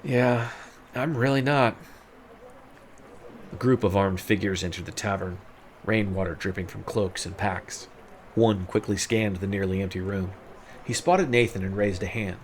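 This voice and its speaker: A man with a fairly low voice narrates a scripted story. He is the storyteller of an audio drama, with faint ambient noise behind him. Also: steady tone, normal speed.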